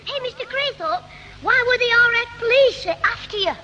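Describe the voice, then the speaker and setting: A very high-pitched, impudent schoolboy with a Northern English accent. He wisecracks like a young troublemaker in an old radio sitcom. The broadcast is plainly noisy and vintage. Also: lively intonation, a little fast. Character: cheeky, mischievous schoolboy son. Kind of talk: 1960s British radio sitcom acting.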